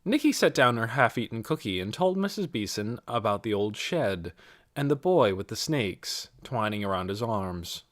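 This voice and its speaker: A man's voice: lowish voice, sing-song, normal speed. A man reading a story aloud as scripted audiobook narration. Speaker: audiobook reader.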